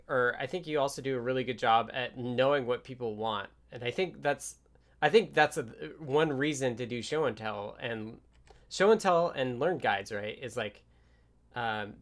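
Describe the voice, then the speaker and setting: Male livestream co-host, thirties, mid-range voice, relaxed conversational delivery for casual tech chat. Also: medium pitch. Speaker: livestream host and software developer. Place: room at home. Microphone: headset.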